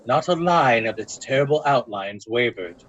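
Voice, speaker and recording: Male narrator, husky, mid-pitched, dramatic and deliberate, old-time radio suspense, muffled recording. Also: animated, fairly bright tone.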